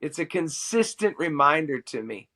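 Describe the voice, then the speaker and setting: A middle-aged man, a worship musician and podcast guest, telling a personal story in an animated, self-amused way. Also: a little high, moderate pace, creaky.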